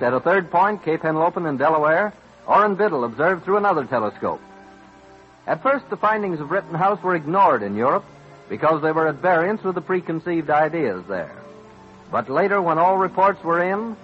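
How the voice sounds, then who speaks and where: A man narrates a vintage radio drama in formal, declamatory style. His voice is a little high for a man. Soft music sits behind him, and the old recording sounds dull.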